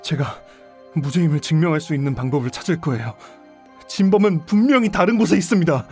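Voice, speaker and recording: Actor as an indignant accused athlete, swooping pitch. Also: male voice, lively intonation, fast, a little mellow, faint music behind, lightly acted. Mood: defiant. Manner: pleading, emphatic protests. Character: indignant male baseball player accused of murder. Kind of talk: audio drama acting.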